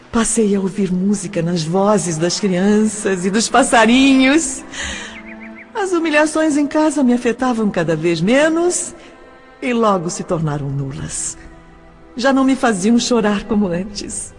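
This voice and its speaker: An actress playing an emotive young woman recalling love and betrayal in a dramatised audio novel, with measured, theatrical delivery. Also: medium pitch, lightly acted. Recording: long reverb, music behind.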